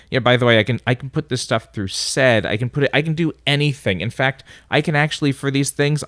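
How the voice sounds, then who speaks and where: A man's voice: mid-range voice, no room sound, clean studio sound. A man with a nasal voice casually talking through a coding tutorial, thinking aloud as he types commands. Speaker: instructor. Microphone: voice-over on a screen recording.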